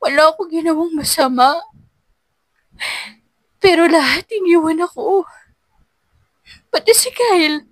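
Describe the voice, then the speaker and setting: Woman acting a high-pitched, pleading heartbroken young woman. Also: unhurried, long pauses, no room sound. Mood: tearful, wounded. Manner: pleading, emotional. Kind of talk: audiobook romance melodrama.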